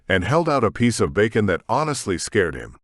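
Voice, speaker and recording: Male narrator, lower-pitched voice, scripted story reading, very varied intonation, dry studio-like sound. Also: big pitch swings, a little fast, a bit bright, no echo. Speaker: audiobook narrator.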